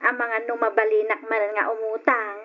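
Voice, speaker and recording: Casting: actress for meek, hard-up mother, high voice, Ilocano accent, apologetic, muffled old radio drama. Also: little pitch movement, moderate pace, lightly acted. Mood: pleading, anxious. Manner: pleading, apologetic. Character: hard-up, pleading mother, meek and self-sacrificing.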